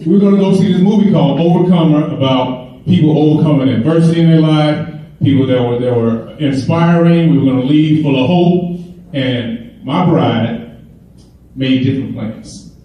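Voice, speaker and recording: A man's voice: fairly high pitch, normal speed, highs cut off. A middle-aged man from Chicago giving a keynote speech and telling funny stories in a casual way. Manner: storytelling, humorous, conversational. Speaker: keynote speaker. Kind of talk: motivational speech. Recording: echoey hall, mic far off, faint hiss.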